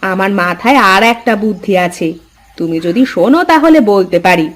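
A woman's voice: mid-range voice, big pitch swings, moderate pace. A woman voicing a scheming village wife in a cartoon, with a coaxing, insistent manner. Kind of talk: cartoon dialogue acting.

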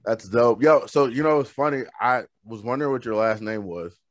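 A man's voice: mid-range voice, brisk, no room sound. Man, podcast host, from oklahoma, casual slangy talk, upbeat, studio mic. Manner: laid-back, slangy, upbeat. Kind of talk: casual interview chat. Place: home studio room with shelves. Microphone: studio microphone on a boom arm.

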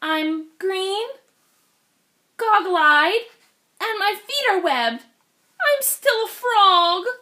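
Woman playing a young male frog, storybook, high swooping pitch, excitable, hopeful, exclaiming. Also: drawn-out words, pauses often, full character voice. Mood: excited, dismayed. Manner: dramatic, exclaiming.

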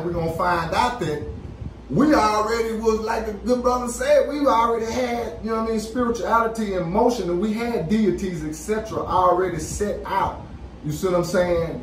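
A man's voice: sits high, far from the mic. A middle-aged American man co-hosting a podcast, talking casually in an emphatic, preacher-like way and often checking in with listeners. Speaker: podcast co-host. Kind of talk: casual preaching-style discussion. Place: small podcast studio, indoors. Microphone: boom-arm studio microphone with headphones. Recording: echoey room, off-mic, faint noise.

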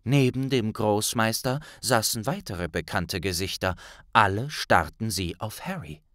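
A man reading a scripted story as a dramatic audiobook narrator, deliberate and suspenseful. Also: on the low side, animated, a bit bright, dry recording, studio quality.

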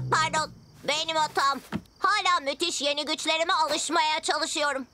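A woman's voice: high voice, measured pace, sharp tone. A woman voicing a big, boastful, eager boy superhero in a dubbed children's cartoon. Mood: sheepish. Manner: bragging, overenthusiastic. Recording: music behind the voice.

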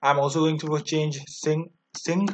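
A man in his twenties with an Indian accent, narrating a step-by-step software tutorial in a halting, repetitive way. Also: a little high, level tone, slight background noise. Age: 20s. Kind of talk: step-by-step screen demo narration. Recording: dull lo-fi microphone sound.

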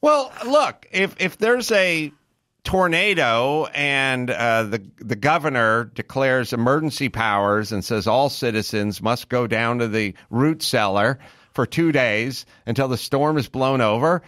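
A middle-aged man hosting a podcast in a studio, talking into a boom mic and spinning long, comically exasperated hypotheticals. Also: a little slow, a bit bright, no room sound. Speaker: host. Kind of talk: casual podcast talk. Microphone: studio boom mic with headphones.

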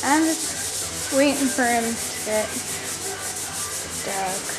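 A woman's voice: fairly high pitch, expressive, extremely slow, poor audio. A woman filming from behind the camera and teasing the others in casual banter. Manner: teasing, wry commentary. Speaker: camera operator and co-host. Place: backyard and home garage.

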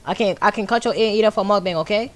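A woman's voice: mid-range voice, very fast. A woman playing an online role-play game, trash-talking in aggressive, repetitive slang. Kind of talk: taunting in-game trash talk.

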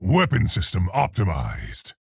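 An actor playing a big, earnest, awkward scientist gorilla in a video game, with a gravelly, husky voice and deliberate, scholarly phrasing. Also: male voice, deep voice, wildly swooping pitch, dry recording, studio quality, full character voice. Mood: confident, earnest. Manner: deliberate, precise, scholarly, hesitant. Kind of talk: video game character voice lines.

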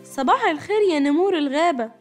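A woman's voice: sits high, lively intonation, quick pace, music in the background. A woman with an Egyptian accent voicing a brave, clever young girl rabbit in a children's story, speaking confidently. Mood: determined. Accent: Egyptian Arabic.

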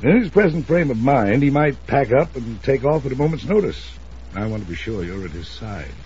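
An actor playing a wealthy older uncle in a 1950s radio drama, emphatic, domineering and anxious. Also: male voice, medium pitch, animated, a little fast, a little mellow, lightly acted. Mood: insistent, grave. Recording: dull, noisy old radio recording.

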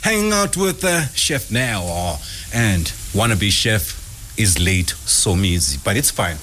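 A man's voice: on the low side, big pitch swings, takes it slowly, fairly bright tone. Teasing radio host guy, voice jumps around a lot, faint kitchen hum behind, studio mic. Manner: playful, teasing. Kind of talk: morning radio chat. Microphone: studio mic with headphones.

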